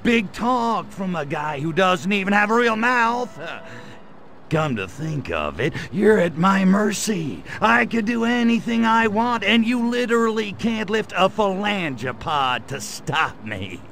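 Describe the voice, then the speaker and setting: An actor playing a cocky, wisecracking male alien invader with a gravelly, slangy drawl in a video game. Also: high-pitched, animated, unhurried, fairly bright tone, slight background noise, full character voice. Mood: taunting. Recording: game audio with faint music.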